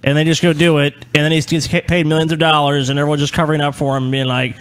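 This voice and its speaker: A man's voice: fairly high pitch, little pitch movement, quick pace, a bit bright, clean tone. A man co-hosting a podcast with casual comedic banter, swearing freely and sounding incredulous as he reads news aloud. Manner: profane, incredulous. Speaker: podcast co-host.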